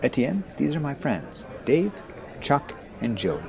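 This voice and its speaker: A man playing a principled, friendly American high school boy who explains things earnestly in an audio drama. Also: big pitch swings, slow pace, creaky, exaggerated voice. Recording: busy crowd noise behind.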